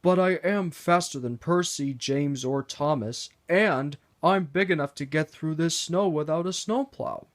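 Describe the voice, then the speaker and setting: A man's voice: a little high, unhurried, hardly any pauses, voice pushed up, natural voice. A man voicing a big, proud, boastful steam engine in a storybook reading. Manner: boastful, whiny when asked to work.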